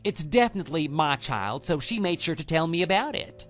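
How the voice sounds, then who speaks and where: A man's voice: on the high side, animated, brisk, a bit bright, faint music behind. A man playing a smug, brazen lover who talks down to a betrayed husband in an audio drama. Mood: gloating. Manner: smug, condescending, dismissive. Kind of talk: scripted texting-story radio drama.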